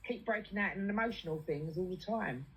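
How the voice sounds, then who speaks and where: A woman's voice: fairly low pitch, brisk, rough recording. Blunt British woman, with background noise. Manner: blunt, sweary, colloquial. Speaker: livestream host. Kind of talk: casual chat, venting.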